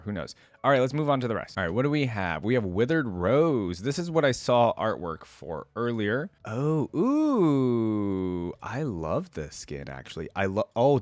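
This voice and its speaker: Low-voiced man with very animated pitch. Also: no echo. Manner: enthusiastic, informal, thinking aloud. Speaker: reaction video host. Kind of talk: casual reaction commentary.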